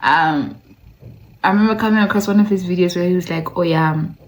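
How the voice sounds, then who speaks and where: A woman's voice: on the low side, a little fast. A woman hosting a video essay in a conversational way, with playful asides, repeated words for emphasis and some passages read aloud. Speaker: online video host.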